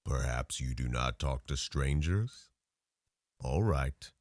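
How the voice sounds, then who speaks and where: A man playing a pious, menacing vampire hunter in an ASMR roleplay, with formal, taunting, gentlemanly speech. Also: extremely low pitch, animated, takes it slowly, no room sound, studio quality, heavily acted. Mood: taunting, righteous.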